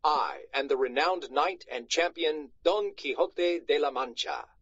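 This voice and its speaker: A man voicing a grandiose, deluded gentleman knight in a storybook, declaiming pompously, on a slightly muffled recording. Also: a little high, a little slow, breathy edge, no room sound. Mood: proud. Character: grandiose, deluded old-fashioned Spanish knight, lean gentleman. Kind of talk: storybook read-aloud.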